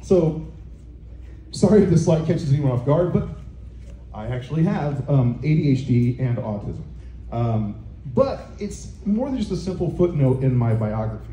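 A middle-aged man giving a conference talk on a handheld microphone in a hotel meeting room, loud and booming, with many fillers and restarts. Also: big pitch swings, normal speed. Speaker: conference presenter. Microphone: handheld microphone through room speakers. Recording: echoey conference room, faint noise.

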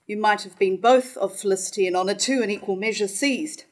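A woman's voice: medium pitch, fairly quick, natural voice. A woman playing a haughty, scornful noblewoman in a formal reading of a verse drama. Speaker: actor in a staged reading. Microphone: stand mic at lectern.

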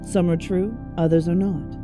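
A woman narrating an audio drama in a measured storytelling manner. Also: lowish voice, steady tone, over music.

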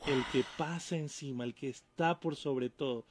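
A young adult man, a guest pastor, explaining calmly at a desk mic in a small studio, trailing off softly at the ends of phrases. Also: mid-range voice, moderate pace, slightly rough, dry recording. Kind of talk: explanatory talk-show conversation. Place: small studio with painted backdrop. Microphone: desk mic on stand.

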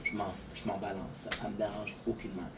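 Un homme de Montréal à l'accent québécois, un peu fatigué, qui parle de façon décousue en se répétant beaucoup. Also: male voice, fairly low pitch, steady tone, fast talker, very noisy. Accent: Québécois French. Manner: rambling, repeats words, frequent fillers. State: a little tired. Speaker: content creator. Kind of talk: casual talk to camera. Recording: distant voice with clear outdoor ambience.

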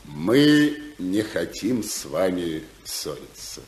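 An actor playing a sly, oily newspaperman offering bribes in a 1950s radio play, with insinuating, theatrical, drawn-out phrasing. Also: low voice, very animated, a little mellow, heavily acted. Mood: smooth, confiding. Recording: roomy 1955 radio recording, faint music.

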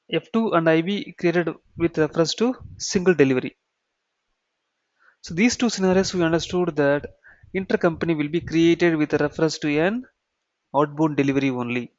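A man with an Indian accent giving an explanatory software tutorial, repeating key phrases and checking in with "okay" and "right". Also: on the high side, dry recording. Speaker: software trainer. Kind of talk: explanatory screen-share tutorial. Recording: dull, muffled lo-fi sound.

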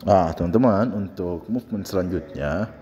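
A man's voice: deep voice, normal speed, dark tone. A young man talking a workout video through in a casual, explanatory way, recorded in an indoor gym by a camera across the room. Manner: chatty, explains training step by step. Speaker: gym vlogger. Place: indoor gym with fluorescent lights. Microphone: camera on the floor across the room. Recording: reverberant gym hall with faint hum.

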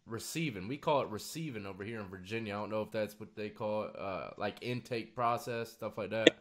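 A man's voice: fairly low pitch, a little fast, a bit bright. Casual American man, informal and slangy. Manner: informal, slangy, addresses listeners as "man" and "y'all". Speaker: host of a story-time video show. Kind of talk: casual chat. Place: small room with gaming setup at home.

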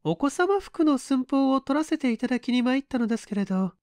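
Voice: high voice, animated, brisk, a little mellow, no room sound, clean studio sound, voice pushed up. A narrator voicing a haughty middle-aged woman dressmaker who speaks in an affected, fussy, polite way. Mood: huffy, haughty.

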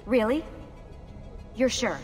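An actress playing a guarded, earnest young woman assassin in a video game, speaking in a measured way. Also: fairly high pitch, expressive. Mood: softer, sincere. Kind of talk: video game cutscene acting. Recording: music behind the voice.

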